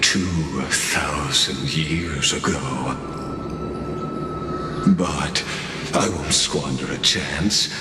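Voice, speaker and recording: An ancient, world-weary warlord from a fantasy game, speaks with extremely low pitch and a crawling, ominous pace. His grave words echo heavily over background music. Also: noisy background, exaggerated voice. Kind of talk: dramatic video game voice acting. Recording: echoey cavernous reverb under music.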